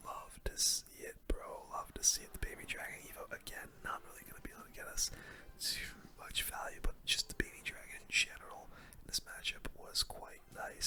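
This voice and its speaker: Whispering ASMR gaming commentator. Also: very high voice. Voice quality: breathy unvoiced whisper. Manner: relaxed casual gamer slang. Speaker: gaming video host.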